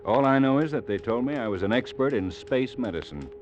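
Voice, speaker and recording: A man's voice: mid-range voice, expressive, a little fast, noisy background, lightly acted. An American actor playing an earnest, fatherly ship's doctor in an old-time radio drama, plain-spoken and folksy. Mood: reflective. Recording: dull vintage 1950s radio recording. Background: clear dramatic music.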